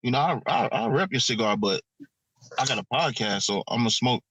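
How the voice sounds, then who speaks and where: A man talks quickly in a slangy, easygoing way as host of a podcast. His voice sounds dry and close, with little room around it. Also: medium pitch, lively intonation, a bit bright, husky, dry recording, poor audio. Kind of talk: casual chat.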